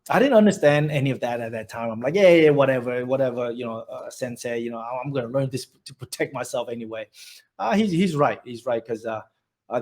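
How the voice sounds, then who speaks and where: A man's voice: medium pitch, a little mellow. A middle-aged man from Vietnam with a Vietnamese accent, telling his life story in an oral history interview, rambling, with many restarts and fillers.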